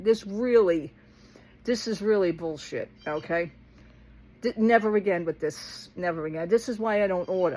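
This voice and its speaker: A woman with a slightly low-pitched voice vlogs in a casual, rambling way. She is blunt and exasperated, speaks colloquially, and tells her story by replaying who said what. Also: slight background noise, low-quality recording. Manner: blunt, exasperated, colloquial, re-enacting both sides of conversations. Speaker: vlogger talking to followers.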